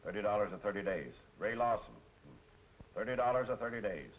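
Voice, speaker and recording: A bored old-movie noir judge, flat rote delivery, muffled hissy sound. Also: male voice, on the low side, a little fast. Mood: bored, officious. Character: brisk, bored night-court judge sentencing offenders. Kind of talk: old film noir acting. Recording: dull vintage film audio with hiss.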